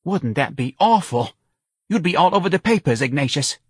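A man voicing a fretful, nagging older working-class mother with husky, scolding, colloquial speech in an audiobook. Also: fairly high pitch, animated, normal speed, no echo, studio quality, voice pushed up. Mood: anxious scolding. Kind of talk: audiobook character voice.

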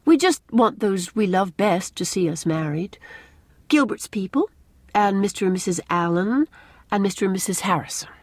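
A woman voicing a cheerful, romantic young bride-to-be in an audiobook, expressive and lyrical with a teasing touch. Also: medium pitch, moderate pace, dry recording, voice pushed up, lightly acted. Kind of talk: audiobook character dialogue.

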